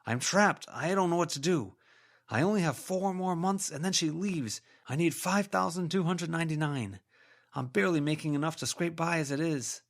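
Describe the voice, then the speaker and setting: A man's voice: fairly high pitch, normal speed, pitched above the performer's own voice, lightly acted. A man voicing a desperate ghost of a debt-ridden businessman in an audiobook, muttering obsessively and repeating himself. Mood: desperate, pleading. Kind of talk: audiobook character voice.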